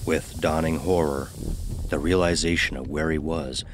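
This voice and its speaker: A man narrating a scripted horror story in a measured, dramatic, ominous manner. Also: very deep voice, fairly even intonation, background noise.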